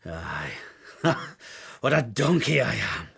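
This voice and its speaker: A man acting in a radio drama as a rough, sardonic whaling harpooner, gravelly and husky, with blunt sailor's talk. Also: fairly low pitch, big pitch swings, slow, fairly bright tone, heavily acted. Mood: defiant, wry.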